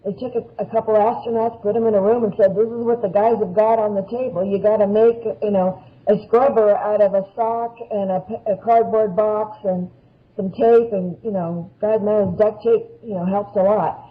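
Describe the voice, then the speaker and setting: A woman's voice: medium pitch, steady tone, moderate pace. A woman speaking as a guest expert, explaining practical matters in a plain-spoken, conversational way. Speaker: nurse, podcast guest. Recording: dull lo-fi podcast audio.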